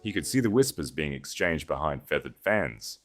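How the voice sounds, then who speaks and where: Male audiobook narrator, very low register with lively swings, dramatic delivery of period fiction over soft music. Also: moderate pace. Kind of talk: dramatic scripted story narration.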